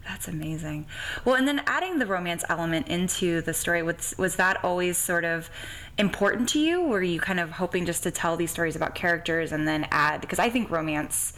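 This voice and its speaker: A woman's voice: lowish voice, expressive, fairly quick, a bit bright. A young woman hosting a podcast, chatting casually and gushing a little as she interviews. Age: young adult. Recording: faint background hiss or room noise.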